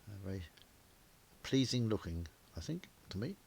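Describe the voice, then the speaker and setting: An older man with a croaky, sore-throated voice, talking through a motorcycle video at a measured pace from his garage. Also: lowish voice, sing-song, slight background noise. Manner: measured, gentle, with frequent pauses and fillers. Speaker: motorcycle vlogger. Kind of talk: casual narrated walkaround. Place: indoor garage.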